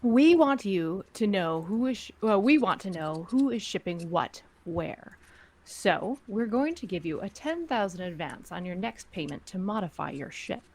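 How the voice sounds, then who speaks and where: Female syndicate spymaster, played by a woman: medium pitch, controlled, superior, threatening under polite words, sci-fi drama. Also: animated, moderate pace. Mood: commanding, threatening. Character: cool, menacing crime syndicate spymaster, a woman in command. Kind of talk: script table read of sci-fi fan drama.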